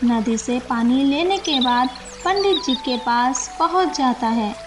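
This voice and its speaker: High-pitched, unhurried woman storyteller. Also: expressive, over music. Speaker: story narrator. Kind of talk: scripted children's moral story narration.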